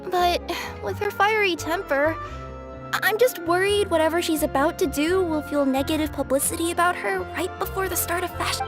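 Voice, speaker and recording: Sheepish young cat yokai courier. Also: female voice, very high voice. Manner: hesitant, sheepish, with many filler sounds. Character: young female cat yokai courier, cheerful but nervous. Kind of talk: game voice acting. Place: recording studio. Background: game music.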